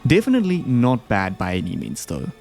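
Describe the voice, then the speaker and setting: A man delivers casual, opinionated video commentary with a lively, animated pitch. He talks in an informal, slangy way like a host sharing his rankings. Music plays faintly behind him. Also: fairly quick. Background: background music.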